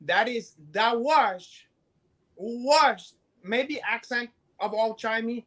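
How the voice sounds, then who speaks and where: A man's voice: very high voice, drawn-out words, a bit bright. A man with a Brazilian accent co-hosting a show, boasting, swearing and joking. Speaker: co-host. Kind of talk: profane comic banter.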